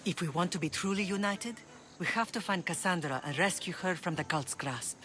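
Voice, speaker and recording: A woman's voice: lowish voice, a little fast, fairly bright tone, a little hiss or hum, lightly acted. An actress playing a middle-aged Spartan mother in a video game, dignified and resolute with a tender side. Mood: grave, resolute. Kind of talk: dramatic video game cutscene acting.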